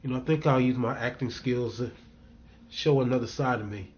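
A male actor playing a bitter, troubled middle-aged man with hidden darkness, in a brooding dramatic manner with deliberate pauses. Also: medium pitch, a little mellow, lo-fi sound, slight background noise, lightly acted. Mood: menacing, reflective. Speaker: actor performing a monologue and scene reel. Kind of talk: dramatic screen acting, monologue and scene.

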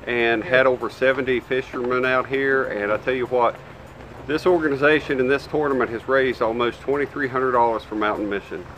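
A man's voice: medium pitch, fairly even intonation, fairly quick, a bit bright, slight background noise, low-quality recording. Middle-aged man giving outdoor thanks. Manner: grateful, plain-spoken, some hesitations. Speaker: charity representative. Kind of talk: thank-you speech. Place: outdoors on a porch.

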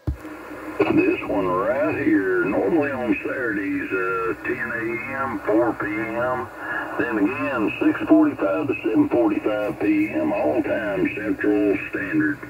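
A man's voice: medium pitch, takes it slowly. A man chatting in friendly, folksy radio lingo, heard through a CB radio transmission. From Texas, United States. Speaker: CB radio operator. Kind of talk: casual radio contact chat with call-sign procedure. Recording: very noisy, muffled CB radio reception. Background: loud radio static.

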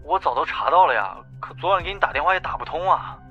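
A man playing an aggrieved, deferential underling on the phone, softly plaintive, in an audiobook drama. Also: on the high side, expressive, fast, dull audio, soft background music. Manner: plaintive, soft.

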